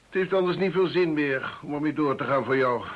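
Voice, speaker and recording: A man's voice: on the high side, fairly quick, lightly acted. An actor playing a confident, condescending male police inspector in a radio drama. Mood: self-assured. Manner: assured, condescending. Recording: muffled old radio drama recording.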